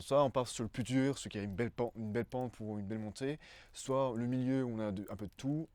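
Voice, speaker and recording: A young man in his twenties from Brussels shares his experience in an informal interview. His voice sits a little low and is recorded very dry. He speaks haltingly, often repeating words as he looks for the next one. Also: darkish tone, no echo. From Brussels, Belgium. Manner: hesitant, searching for words, repeating himself. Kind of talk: casual testimony in an interview.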